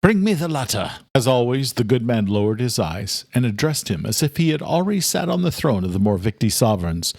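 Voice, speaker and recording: A man's voice: mid-range voice, expressive, brisk, creaky, hardly any pauses, darkish tone, breathy edge, no echo, clean studio sound. A man reading scripted horror thriller narration as an audiobook narrator.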